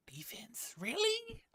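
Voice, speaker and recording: A man's voice: very high-pitched, wildly swooping pitch, drawn-out words, pushed and bright, a little hiss or hum. A man chatting casually and giggly while live-streaming a game, reacting as he plays.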